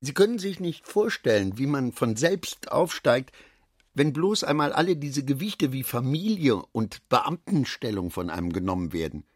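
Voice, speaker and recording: A man's voice: mid-range voice, big pitch swings, normal speed, husky, no echo, studio quality. An actor playing a garrulous, self-satisfied middle-aged businessman in a radio drama, chatty and given to philosophizing. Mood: boastful.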